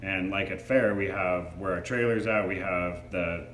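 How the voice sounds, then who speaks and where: A man's voice: low-pitched, steady tone, a little slow, a bit bright. A man reviewing a product in a casual, hands-on demo, explaining features informally. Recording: echoey room with faint background noise.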